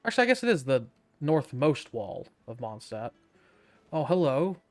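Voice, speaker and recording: A man's voice: mid-range voice, very animated, moderate pace, a little mellow, soft background music. A man hosting a casual video game playthrough, tossing out dry, sardonic quips. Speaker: gaming video host.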